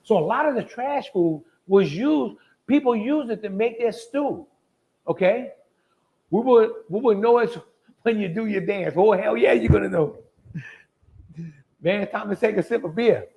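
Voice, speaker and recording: A man's voice: high-pitched, animated, normal speed, creaky, pauses often, muffled recording. A man hosting a live cooking demonstration in a folksy, chatty, colloquial way. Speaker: host of a live cooking show.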